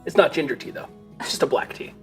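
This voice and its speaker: A man running a tabletop game, narrating casually and off the cuff as game master. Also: quick pace. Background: music.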